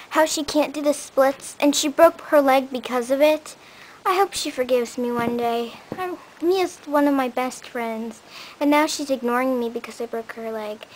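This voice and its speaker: A sulky, catty schoolgirl acted by a young woman in an amateur skit. Her voice is very high and she complains slowly and dramatically. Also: fairly bright tone, a little hiss or hum, rough recording.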